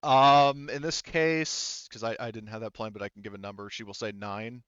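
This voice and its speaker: A man narrating a tabletop roleplaying game as game master in a halting, conversational way, often relaying what characters say. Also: on the low side, fairly even intonation, fairly bright tone, smooth, dry recording, highs cut off.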